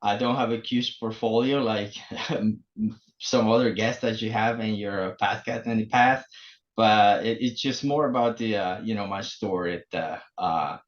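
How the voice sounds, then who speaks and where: Halting man, muffled audio. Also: on the low side. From El Salvador. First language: Spanish. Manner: halting, many fillers and restarts. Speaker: guest. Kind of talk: casual interview.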